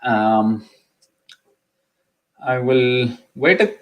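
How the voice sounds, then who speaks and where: A man's voice: mid-range voice, lively intonation, drawn-out words, highs cut off. A middle-aged man whose first language is Urdu, hosting a live immigration session and talking casually with many ums and restarts, a little sick with a cough. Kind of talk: casual live-stream talk.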